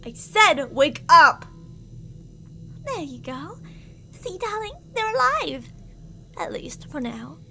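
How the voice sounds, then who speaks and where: A high, bright, lilting voice that coos with sugary menace and then snaps into sudden shouting, as a woman acts it out. This is an obsessive, possessive captor in an audio drama, holding her beloved with unsettling devotion. A faint hiss sits under the voice. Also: big pitch swings, slow, gravelly, long pauses, heavily acted. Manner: sweet, cooing and menacing, bursts into shouting.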